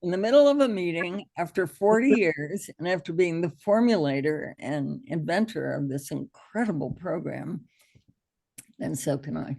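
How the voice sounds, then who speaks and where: Gravelly, low-voiced American woman teaching a class. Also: expressive, normal speed, a little mellow. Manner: encouraging, reassuring. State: hoarse from allergies. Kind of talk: informal online class instruction.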